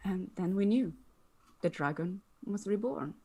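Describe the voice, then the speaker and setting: A woman acting a grave mystic woman who reveals prophecy. She speaks deliberately and solemnly, at a middle pitch, as in a dramatic fantasy script reading. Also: mellow, slightly rough. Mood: grave, certain. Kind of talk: dramatic screenplay table read.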